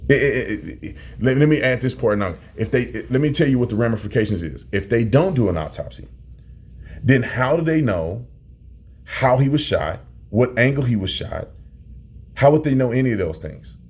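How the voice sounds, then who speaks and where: A man's voice: medium pitch, expressive, normal speed, darkish tone. An American man giving opinion commentary to viewers, emphatic, repeating and stretching key phrases for weight. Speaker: commentator, former police officer.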